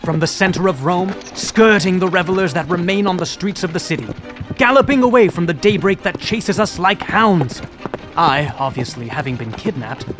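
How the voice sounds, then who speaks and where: Man playing a high-voiced young viscount narrating. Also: lightly acted. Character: young viscount vividly recounting his own adventure. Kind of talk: dramatic first-person narration. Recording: loud music behind.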